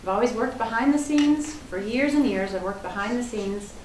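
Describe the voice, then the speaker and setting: A woman's voice: a little high, moderate pace, darkish tone. A woman giving an earnest, personal opening statement as a political candidate at a forum. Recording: roomy hall, distant mic, noisy.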